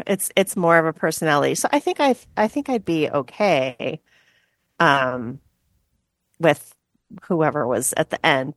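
A woman co-hosting a podcast, talking casually and hesitantly with many pauses and trailing thoughts. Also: fairly low pitch, no room sound, studio quality. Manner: hesitant, lots of fillers and trailing off. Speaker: podcast co-host. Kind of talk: casual chat.

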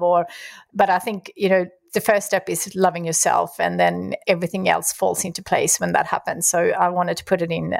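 A woman's voice: lowish voice, vocal fry, no echo, studio quality. A woman whose first language is Swedish, hosting a casual interview and affirming her guest often. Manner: warm, affirming, laughs often. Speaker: podcast host.

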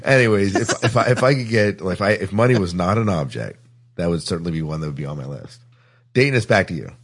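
Man, deep pitch, rough husky tone, jovial podcast host, casual conversational delivery. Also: expressive. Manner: jovial, quick to laugh. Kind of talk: casual chat.

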